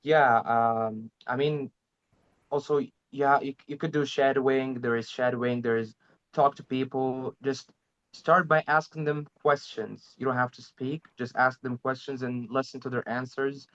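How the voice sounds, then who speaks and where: A man's voice: medium pitch, steady tone, fairly bright tone. Hesitant man, Arabic native, dull audio. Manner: hesitant, halting, restarts. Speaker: language learner, guest. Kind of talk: casual interview chat.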